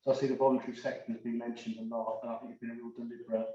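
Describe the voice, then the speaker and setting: A man's voice: medium pitch, little pitch movement, very fast, mellow. A man giving earnest, unscripted remarks as a panellist. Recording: very echoey, distant, dull room sound.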